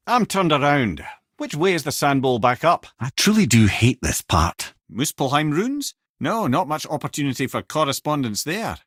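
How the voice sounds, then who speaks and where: A man's voice: mid-range voice, fairly bright tone, dry recording, clean studio sound. A man playing a witty, learned old talking head in a video game, an eloquent storyteller and loyal companion with a Scottish accent. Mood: exasperated. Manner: wry, eloquent, colloquial storyteller. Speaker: companion and lore-teller.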